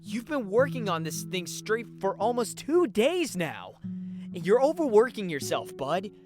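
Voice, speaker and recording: A woman voicing a caring, protective male cartoon hedgehog, gentle and concerned like a big brother, in a fan drama. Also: medium pitch, big pitch swings, a little slow, over music. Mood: coaxing.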